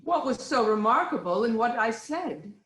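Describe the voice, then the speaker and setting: Actress as composed tragic queen: fairly high voice, formal and assured, roomy echoing recording. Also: expressive, moderate pace, fairly bright tone. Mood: concerned, questioning. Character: poised, concerned queen, wife of the king. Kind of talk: dramatic reading of Greek tragedy.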